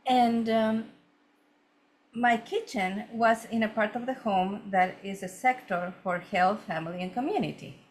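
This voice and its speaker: A woman's voice: medium pitch, unhurried. A woman from Ecuador, a feng shui consultant, telling her story earnestly in a conversational interview with many fillers and restarts.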